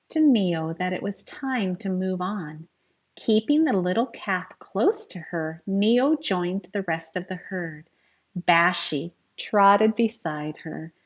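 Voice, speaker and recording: A woman reading a picture book aloud to young children in an expressive, teacherly way, speaking to them directly as she goes. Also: fairly low pitch, highs cut off. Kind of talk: read-aloud storytelling with asides to young listeners.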